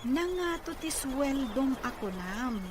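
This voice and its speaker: An affectionate, coaxing Filipino godmother in a radio drama. Her voice is high and unhurried, with noticeable background noise. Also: animated. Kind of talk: Ilocano radio drama acting. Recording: noisy ambient background.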